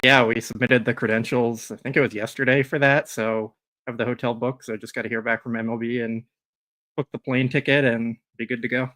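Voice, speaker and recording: A man co-hosting a casual sports chat, giving news in an even, matter-of-fact way. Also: mid-range voice, fairly quick, low-quality recording. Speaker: co-host, managing editor.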